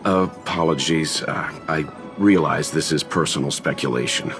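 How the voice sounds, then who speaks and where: An actor playing a reserved, thoughtful gentleman investigator in a video game, measured and polite with some hesitation. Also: a bass voice, over music. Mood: hesitant, apologetic. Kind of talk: video game character acting.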